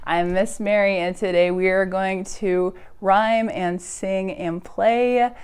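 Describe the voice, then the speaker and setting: A woman's voice: medium pitch, steady tone, slow pace, darkish tone, smooth. A woman hosting a preschool storytime with songs, rhymes and reading, speaking in simple, encouraging phrases to small children. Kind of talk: preschool storytime with singing, rhymes and read-aloud.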